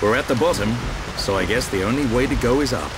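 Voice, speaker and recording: An actor playing a proud, battle-hardened swordsman in a video game, speaking in a measured, wry and resolute way. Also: mid-range voice, background noise, natural voice. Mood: dry, wry. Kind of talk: video game cutscene acting.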